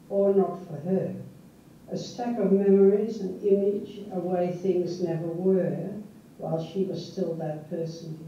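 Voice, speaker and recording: An older woman, a native Dutch speaker from the Netherlands, reading her own poem in a measured, unhurried way. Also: fairly low pitch, warm tone, slight background noise. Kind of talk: poetry reading. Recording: echoey, distant, dull.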